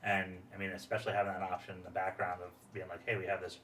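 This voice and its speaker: American dude interviewing, rambly, acts out quotes, echoey and a bit off the mic. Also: male voice, low-pitched, little pitch movement, rapid-fire, fairly bright tone. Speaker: podcast host, interviewer. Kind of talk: casual interview chat. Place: podcast studio, indoors. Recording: roomy echo, distant mic, faint noise.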